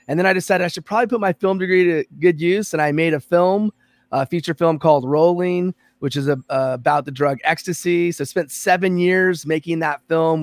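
A man's voice: a little high, dry recording, studio quality. A man born in American Samoa and raised in Los Angeles, a podcast guest telling his career story in an earnest, reflective way. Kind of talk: conversational interview.

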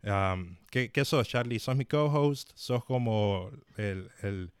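Hombre presentador de voz clara y seca. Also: male voice, lowish voice, slow pace, clear, resonant tone, no room sound, clean studio sound. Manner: hesitant, many fillers. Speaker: host. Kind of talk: live-streamed interview.

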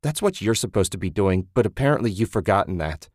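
Male reader doing an exasperated wife, shouting, defensive, dead-dry read. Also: fairly low pitch, sing-song, fast, no echo. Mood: furious. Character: angry, exasperated wife in a marital fight.

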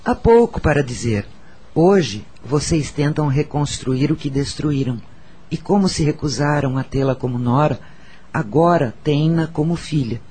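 An actor with a Brazilian accent playing a solemn, gentle spirit mentor in a radio drama, speaking in a measured, formal way. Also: male voice, a little high, expressive, breathy edge.

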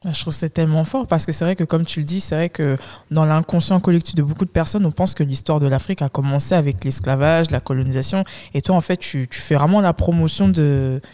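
A woman's voice: low voice, fast, hardly any pauses, warm tone. A woman hosting a casual podcast interview, asking questions in an enthusiastic, complimentary way with some hesitant restarts. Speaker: podcast host.

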